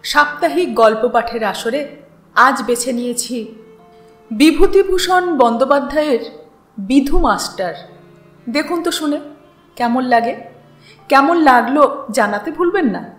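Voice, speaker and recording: A woman reading a literary story in an unhurried, dramatized way as host. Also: sits high, lively intonation. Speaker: story reader and channel host. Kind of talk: expressive literary story narration. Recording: roomy echo with music underneath.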